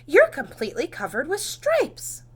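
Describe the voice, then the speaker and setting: A woman's voice: high-pitched, huge pitch swings, a little slow, pitched above the performer's own voice, heavily acted. A woman voicing a fussy, anxious, commanding storybook mother with a dramatic, emphatic manner. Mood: alarmed.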